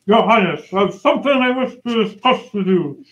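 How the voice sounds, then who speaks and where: An amateur performer playing a vain, vengeful male royal official in an old-time radio play, with declamatory, halting delivery. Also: mid-range voice, lively intonation, a bit bright, lightly acted. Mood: self-important. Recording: dull, roomy amateur recording.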